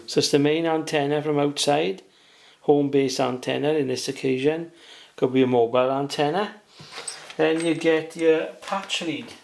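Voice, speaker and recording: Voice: mid-range voice, steady tone, moderate pace, gravelly, darkish tone, a little hiss or hum. A hobbyist presenter giving a casual beginner's how-to, talking plainly and without hurry through each step while working.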